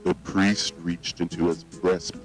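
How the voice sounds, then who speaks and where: A man playing a solemn old grandfather and cult patriarch, deliberately sermonizing to a child in a horror story tape. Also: low voice, flat delivery, faint music behind, low-quality recording. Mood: solemn, explanatory.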